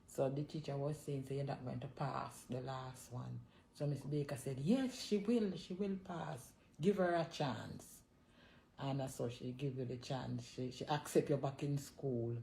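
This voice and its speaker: A woman's voice: extremely low pitch, a little slow, creaky, dark tone, slight background noise. A middle-aged woman with a Jamaican accent, reminiscing casually about family life and repeating her words emphatically to hold the floor. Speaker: mother of the host, joining the story.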